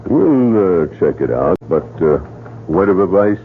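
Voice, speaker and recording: A man's voice: low-pitched, sing-song, a little slow, full character voice. An actor playing a skeptical male police lieutenant who talks in a blunt, dry, doubting way, in a radio drama. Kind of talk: 1970s radio drama acting. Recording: tinny old radio broadcast with faint noise.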